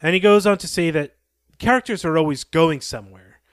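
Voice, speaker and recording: A man's voice: a little high, a little fast, smooth, dry recording, studio quality. A man co-hosting a podcast, retelling a story and commenting on it as he goes. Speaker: podcast co-host.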